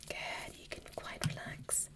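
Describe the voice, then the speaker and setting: A woman voicing a polite, curious alien doctor in a gentle, breathy ASMR whisper during a medical roleplay. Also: lightly acted. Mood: calm, instructing. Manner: gentle, measured, formal and reassuring. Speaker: ASMR performer.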